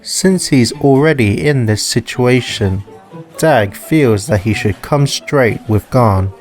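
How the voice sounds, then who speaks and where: A male narrator reads a scripted story. His delivery is slow, formal and measured, with faint plucked guitar music behind him. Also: mid-range voice, darkish tone. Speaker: narrator and author.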